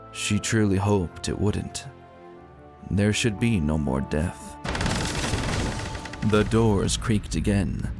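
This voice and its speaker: A man reading a dark fantasy story aloud as narrator, in a solemn, measured and theatrical way. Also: on the low side. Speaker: narrator of a fan fiction audio reading. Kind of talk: dramatic scripted storybook narration.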